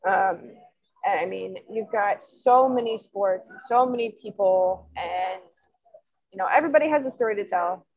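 A woman's voice: mid-range voice, moderate pace, dull audio. A woman in her twenties, a sports columnist, answering interview questions in a chatty, rambling way full of fillers. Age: 20s.

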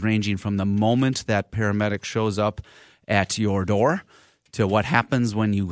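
A man's voice: lowish voice, dry recording, clean studio sound. A man hosting a radio health show as a physician, chatting casually with many fillers and some friendly teasing. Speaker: radio show host, internal medicine physician.

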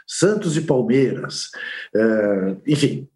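Mellow older Brazilian pundit. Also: male voice, medium pitch, very animated. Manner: unhurried, reminiscing storyteller. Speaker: sports journalist pundit. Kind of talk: casual panel chat.

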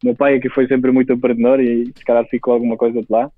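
A man's voice: medium pitch, little pitch movement, quick pace, no echo. A man in his twenties from Spain with a Spanish accent, speaking Portuguese as a guest entrepreneur, hesitant with many restarts. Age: 20s. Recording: tinny phone-like line.

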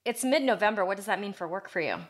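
A woman's voice: mid-range voice, lively intonation, fast talker. A woman in her thirties co-hosting a podcast, chatting in an upbeat, casual way with many fillers. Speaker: podcast co-host. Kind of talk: casual chat.

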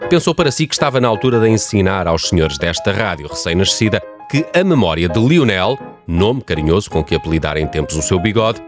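Male storyteller for comic radio mystery. European Portuguese, low, lively intonation, tongue-in-cheek gravity, music bed. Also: fairly quick. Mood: mock-solemn. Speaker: narrator.

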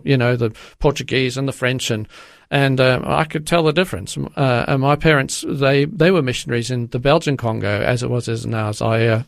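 An older man from Zimbabwe answering interview questions in a halting way, with many restarts and fillers. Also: medium pitch, gravelly, dry recording, studio quality. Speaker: guest.

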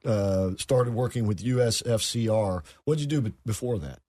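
A man's voice: lowish voice, normal speed, dark tone. Man hosting a radio talk show. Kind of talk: interviewing a guest.

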